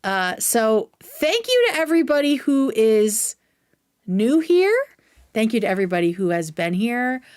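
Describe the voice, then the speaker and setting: A woman's voice: on the high side, very animated, a little slow, darkish tone, smooth, dry recording, studio quality. A woman hosting a livestream, chatting casually and informally with viewers, with some swearing. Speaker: livestream host. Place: indoors at home.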